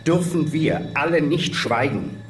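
An actor playing a grave populist politician in a radio drama, giving a measured formal statement. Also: male voice, on the high side, big pitch swings. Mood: solemn, rhetorical. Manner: measured, solemn, rhetorical. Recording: roomy, distant mic, music behind.

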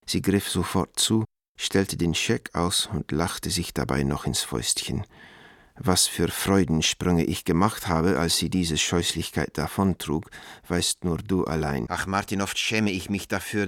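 A man's voice: sits low, steady tone, measured pace, a bit bright, no echo, clean studio sound. An actor playing a witty, self-mocking art dealer in his forties, reading his letters eloquently in a radio drama. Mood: gleeful. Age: 40s.

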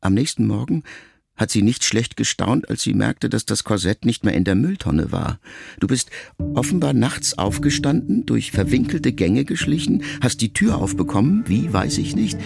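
A man reading a literary memoir aloud as an audiobook, in a calm, measured first-person voice. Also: fairly low pitch, gravelly. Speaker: audiobook narrator.

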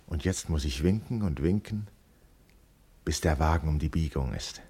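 An actor playing an observant, introspective schoolboy in a literary radio drama, speaking in a measured, reflective way. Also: male voice, very deep voice, dark tone, breathy edge. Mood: solemn, lonely.

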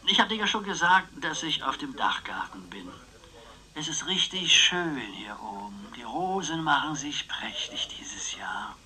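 A man's voice: fairly high pitch, very animated, unhurried, bright, forceful tone, full character voice, rough recording. A male actor playing an eccentric old uncle in a radio play, theatrical, rambling and needling, styling himself a dangerous psychopath. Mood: rambling, wistful. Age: older.

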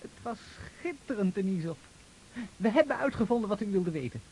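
An actor playing a naive, brave young soldier in a radio drama, earnest and eagerly enthusiastic. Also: male voice, sits high, lively intonation, a little fast, a little mellow, a little hiss or hum, poor audio. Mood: excited.

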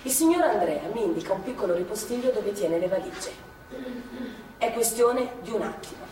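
A woman's voice: mid-range voice, lively intonation, warm tone, lightly acted. An actress playing a plain-spoken, fiery former partisan woman, telling war memories in an emphatic, colloquial theatrical monologue. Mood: tense, recounting. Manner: colloquial, emphatic, halting for effect. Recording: echoey theatre, distant mic, faint noise.

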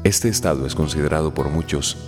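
A man giving solemn, measured scripted narration for a radio drama. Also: very deep voice. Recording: music behind voice.

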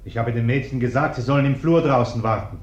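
An actor in a German radio play playing a self-important bourgeois householder, curt, pompous and nervously polite. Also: male voice, medium pitch, quick pace, natural voice. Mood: indignant. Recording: dull, echoey radio play recording.

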